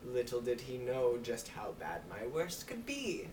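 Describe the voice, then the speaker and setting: Giggly lady reading a story out loud, kinda low voice, far from the mic, noisy roomy recording. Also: big pitch swings, a little slow. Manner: giggly, breaking into laughs while reading. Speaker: amateur reader. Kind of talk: reading an erotic story aloud. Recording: roomy, distant mic with clear noise.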